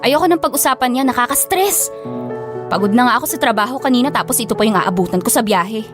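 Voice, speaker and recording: A woman with a Filipino accent playing a guarded, sharp-tongued young office worker in an audio drama. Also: on the high side, expressive, fast, over music, natural voice. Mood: hurt, defensive. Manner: scolding, blunt, guarded. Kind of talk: Tagalog audio drama acting.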